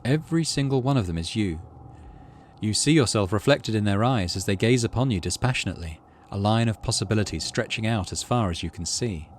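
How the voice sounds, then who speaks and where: A man's voice: lowish voice, expressive, a little fast, a little hiss or hum. A man hosting a video game playthrough, reading the story text aloud and adding casual commentary. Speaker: gaming channel host.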